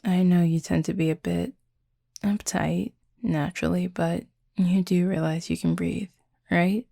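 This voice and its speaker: A woman voicing a confident, flirty werewolf pack leader in an intimate audio roleplay, with a husky, breathy, gravelly voice and a teasing, sardonic drawl. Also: fairly low pitch, fairly even intonation, measured pace, warm tone, no echo, studio quality. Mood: playful, coaxing.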